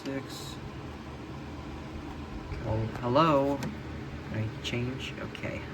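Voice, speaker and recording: A man thinking aloud in a slow, halting way while setting up a device, with many pauses and okays. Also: medium pitch, fairly even intonation. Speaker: hobbyist vlogger unboxing a weather radio. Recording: loud background noise.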